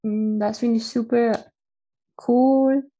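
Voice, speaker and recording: A woman's voice: a little high, steady tone, slow, mellow. A woman with a Mexican accent, a native Spanish speaker, chatting casually and hesitantly as she searches for words. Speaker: interviewee. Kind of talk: casual chat.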